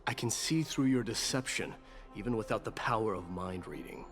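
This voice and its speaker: A man playing a grave, stoic warrior king in a video game, speaking formally and with measured weight. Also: lowish voice, expressive. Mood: grave, probing. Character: solemn, stoic warrior king turned god, male. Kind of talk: dramatic video game voice acting. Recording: game dialogue over loud music.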